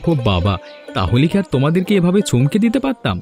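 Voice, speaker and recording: A man's voice: on the high side, huge pitch swings, quick pace, a little mellow, faint music behind. A man playing a cheerful, devoted young student son in a cartoon, eager and affectionate. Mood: happy, affectionate. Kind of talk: Bengali fairy tale cartoon dubbing.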